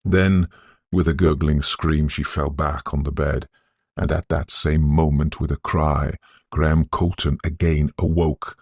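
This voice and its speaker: A man narrating a literary ghost story in a measured, solemn, scholarly manner, with a gravelly, husky voice. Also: extremely low pitch, flat delivery, a little mellow, dry recording. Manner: measured, deliberate, solemn delivery.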